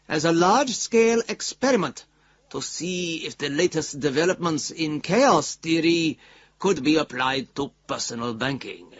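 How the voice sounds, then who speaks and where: Self-important bank boss reading a formal statement, voice up and down a lot, slightly lo-fi radio play. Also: male voice, on the high side, a little hiss or hum. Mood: proud, promotional. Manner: pompous, emphatic corporate delivery. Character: smooth-talking, self-justifying male bank president.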